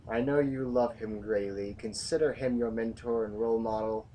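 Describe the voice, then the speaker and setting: A man's voice: mid-range voice, steady tone, moderate pace, warm tone, distant mic, close to an everyday voice. A man playing a blunt, commanding, battle-hardened rebel general in a science fiction audio drama. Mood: heated, accusing. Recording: noisy amateur recording, off the mic.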